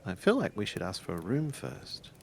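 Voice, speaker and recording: A low-voiced samurai acted by a man in a tabletop game. He is formal and courteous, picking his words with some hesitation. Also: wildly swooping pitch, normal speed, vocal fry, full character voice. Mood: polite.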